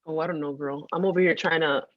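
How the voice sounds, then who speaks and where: A woman's voice: low-pitched, steady tone, quick pace, no echo. Playful, teasing woman podcaster, dull dry audio. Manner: playful, teasing, emphatic repetition. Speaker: podcast co-host. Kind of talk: casual comedic chat.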